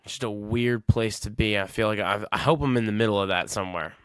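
A man's voice: lowish voice, fast, fairly bright tone, clean tone, no room sound, clean studio sound. A man in his twenties hosting a solo podcast, talking casually and reflectively, rambling and often correcting himself. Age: 20s. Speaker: podcast host.

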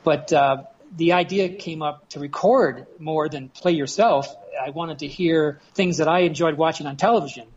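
Male podcast guest, fifties, American, lightish higher pitch, informal recollections, lo-fi online call sound. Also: moderate pace, hardly any pauses, slightly rough. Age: 50s. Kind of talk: casual reminiscing chat. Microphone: internet voice call. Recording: dull lo-fi internet call.

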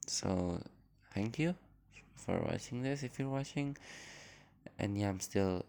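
Man, low-pitched, creaky, unsure and apologetic, fillers, phone mic. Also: slow, dark tone. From Indonesia. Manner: hesitant, apologetic, rambling with many fillers. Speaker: podcast host. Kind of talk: casual unscripted introduction. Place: at home.

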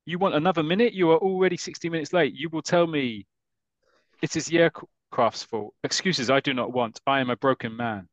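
A man's voice: on the high side, a little fast, dry recording, pitched above the performer's own voice, close to an everyday voice. A man voicing a fiery, pompous foreign male football manager with a put-on accent that sounds vaguely Italian. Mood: scolding. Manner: blustering, scolding, theatrical.

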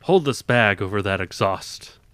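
A man's voice: on the low side, expressive, measured pace, a bit bright, clean tone, no echo. A man voicing a stern young army private first class who bosses a new recruit around. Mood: bossy. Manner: bossy, giving orders.

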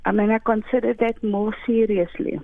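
A woman's voice: mid-range voice, flat delivery, normal speed, no room sound. An older South African woman whose first language is Afrikaans, speaking as an author in an interview, thoughtful and hesitant with many fillers. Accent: South African English. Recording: tinny phone line.

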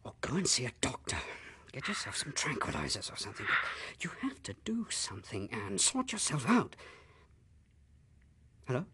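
English businessman husband, mid-pitched, lively swings, patronising and impatient, radio play. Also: normal speed, breathy edge, whispered, exaggerated voice. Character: brusque, condescending businessman husband. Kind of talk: British radio horror drama acting.

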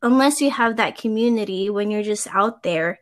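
A woman's voice: medium pitch, moderate pace. A woman from the Philippines in casual conversation, agreeing often and rambling as she reflects on fitting in. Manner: agreeable, frequent backchannels, rambling. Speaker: conversation partner.

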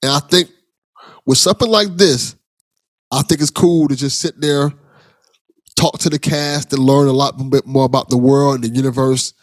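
A man's voice: mid-range voice, steady tone, moderate pace, pauses often, dry recording. A man hosting a casual podcast interview in an enthusiastic, encouraging way. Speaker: podcast host.

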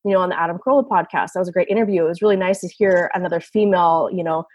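A woman with a big, slightly low voice talks through an easygoing interview, full of "you know" and "like." The recording is dry and close, with no room sound. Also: very fast, slightly rough, no room sound, highs cut off. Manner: big voice, chatty with many fillers. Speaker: enologist. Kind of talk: casual interview talk.

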